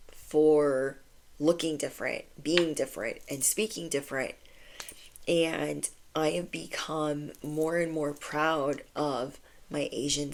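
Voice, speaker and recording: A woman's voice: low-pitched, creaky. A woman giving a casual channeled spiritual message to her viewers, chatty and affirming, with lots of "like". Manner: chatty, affirming, says "like" often. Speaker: spiritual reader.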